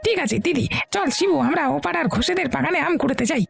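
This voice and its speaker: Eager, creaky, high-pitched little boy. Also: lively intonation, racing, faint music behind, full character voice. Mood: playful, pleading. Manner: eager, pleading. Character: eager, playful village schoolboy. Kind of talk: Bengali cartoon story voice acting.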